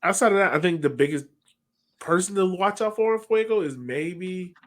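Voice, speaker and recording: A man's voice: high-pitched, moderate pace, a bit bright. An American man in his thirties chats casually and easygoing as a sports podcast host on a video call. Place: home room, indoors, on a video call.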